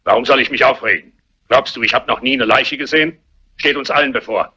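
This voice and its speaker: An actor playing an irascible, spiteful old family patriarch, grumbling scornfully in German crime drama dialogue. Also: male voice, mid-range voice, fast talker, pushed and bright, slightly rough, lo-fi sound. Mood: irritable. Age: older. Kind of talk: German crime film dubbing, theatrical dialogue.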